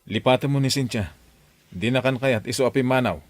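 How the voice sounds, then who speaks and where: An actor playing a downcast uncle in a radio drama. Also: male voice, medium pitch, brisk, no room sound, close to an everyday voice. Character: downcast uncle talking with a child.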